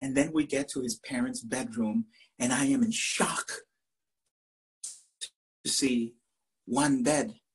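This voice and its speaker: A man's voice: mid-range voice, fairly even intonation, slow pace, pauses often, husky, low-quality recording. Puerto Rican man telling a story. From Puerto Rico. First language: Spanish. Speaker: professor and poet. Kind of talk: storytelling talk to an audience.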